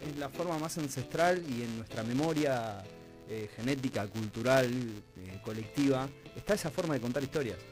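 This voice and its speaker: A man's voice: medium pitch, animated, normal speed, faint music behind, low-quality recording. A man with an Argentine Rioplatense accent hosting a conversational radio interview, reflective, building his points in short repeated phrases. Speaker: radio host. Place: radio studio.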